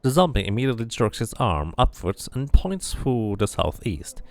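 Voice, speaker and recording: A man's voice: mid-range voice, very animated, normal speed, a little mellow. A man reading game text aloud and commenting casually as he plays a role-playing game.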